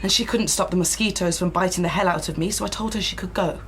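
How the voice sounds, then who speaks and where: A woman's voice: fairly low pitch, fast, close to an everyday voice. A woman playing a blunt, sardonic and bitter partner in a naturalistic radio drama. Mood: sullen, sardonic. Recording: noisy ambient radio drama soundscape.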